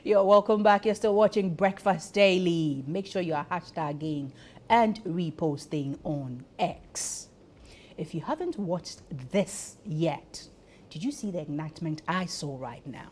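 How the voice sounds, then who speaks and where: A woman's voice: fairly low pitch, lively intonation, measured pace, darkish tone, a little hiss or hum. A woman with a Ghanaian accent chatting playfully and effusively as host of a live morning television show.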